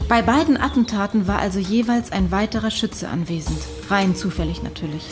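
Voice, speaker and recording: A woman's voice: mid-range voice, brisk, music in the background, natural voice. An actress in a German radio drama playing a sardonic female psychiatrist who questions her patient with dry, probing skepticism. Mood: sarcastic.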